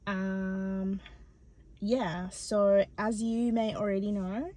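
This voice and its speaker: A young woman from Bangladesh who stutters, talking personally to her viewers with many pauses and ums. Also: medium pitch, level tone, warm tone. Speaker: channel host. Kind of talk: personal vlog talk to camera.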